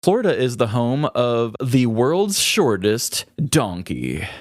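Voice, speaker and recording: A man's voice: mid-range voice, very animated, slow pace, no room sound. A man with a mid-range voice co-hosts a podcast in a casual way. He moves between loose chat and reading trivia aloud, recorded dry with no room sound. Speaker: podcast co-host.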